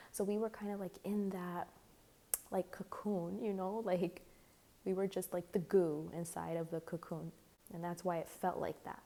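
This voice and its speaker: Tarot reader, woman, lowish mellow voice going a bit hoarse; rambly, filler-heavy casual delivery. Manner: rambling, full of "like" and "you know". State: slightly hoarse, losing her voice. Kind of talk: casual tarot reading to camera.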